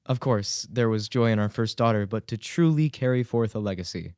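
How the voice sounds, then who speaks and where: A man acting a conciliatory, high-minded poet and husband in a period radio drama, with a formal, placating manner. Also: animated, fairly quick, darkish tone, no echo, clean studio sound.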